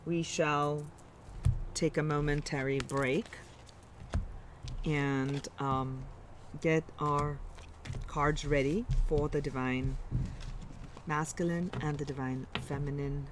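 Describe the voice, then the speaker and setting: A woman's voice: low voice, fairly even intonation, slow pace, pauses often, noisy background. A woman with an Indian accent giving a live tarot reading, musing aloud and reassuring her listeners, often breaking off and restarting. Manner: musing, warm, reassuring, with self-interruptions. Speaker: tarot reader.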